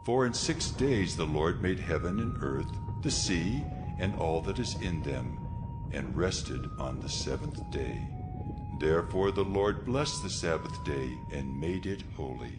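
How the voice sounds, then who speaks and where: A stern almighty God in a scripture audio drama. The voice is very low and commanding, solemnly declaring law over a music bed. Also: male voice, expressive, unhurried. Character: God, stern commanding male deity giving laws. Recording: music behind the voice.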